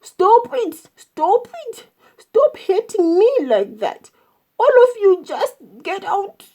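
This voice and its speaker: A woman's voice: medium pitch, expressive, drawn-out words, mellow. A woman voicing a huge, lazy, bullying male elephant in a children's story, with a drawling, threatening manner. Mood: pained, shouting.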